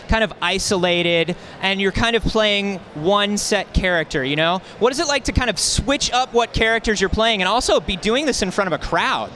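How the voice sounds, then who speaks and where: A man's voice: sits high, sharp tone. A man interviewing guests in an informal, chatty way at a live convention event. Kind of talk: casual on-stage convention interview. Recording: faint noise of busy convention hall.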